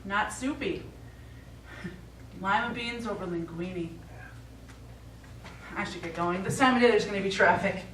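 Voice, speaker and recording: A woman's voice: medium pitch, measured pace, long pauses, a bit bright, natural voice. An actress playing a grown daughter in a stage play, teasing yet businesslike as she talks with her elderly father. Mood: brisk, dry. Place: small theatre stage, recorded from the audience. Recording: echoey theatre, far mic, noisy.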